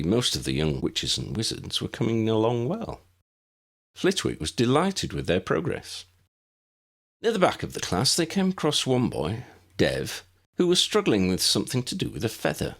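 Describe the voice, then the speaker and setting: Gravelly low-voiced man narrating a story. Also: fairly bright tone. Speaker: audiobook narrator.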